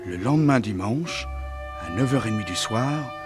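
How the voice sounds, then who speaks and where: An actor playing the narrator of a vintage French radio crime serial, telling it with dramatic suspense. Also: male voice, on the low side, lively intonation, normal speed, close to an everyday voice. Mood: suspenseful. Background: music.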